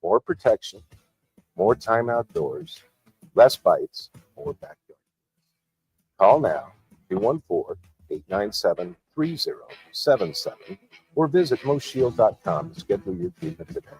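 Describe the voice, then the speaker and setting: A man announces a commercial with a husky, breathy voice. His lowish pitch swings widely as he sells, upbeat and punchy. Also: very animated, unhurried, a little mellow, low-quality recording. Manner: upbeat salesy delivery. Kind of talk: scripted commercial read.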